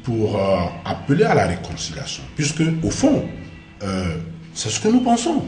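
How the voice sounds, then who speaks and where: A man's voice: medium pitch, wildly swooping pitch, moderate pace, darkish tone. A man in his forties with an Ivorian accent, answering as an interview guest, hesitant and repeating phrases for emphasis. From Ivory Coast. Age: 40s. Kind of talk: studio interview conversation. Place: small indoor TV studio. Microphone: shared desk microphone. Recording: roomy, echoey studio sound.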